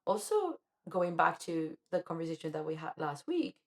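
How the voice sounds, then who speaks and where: A woman with a notably low, deep voice talks through her path as a DJ and producer. She is from Colombia and speaks in a relaxed, reflective way, restarting her thoughts as she goes. Her delivery is casual and animated. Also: moderate pace. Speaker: podcast co-host, DJ and music producer. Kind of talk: casual conversation.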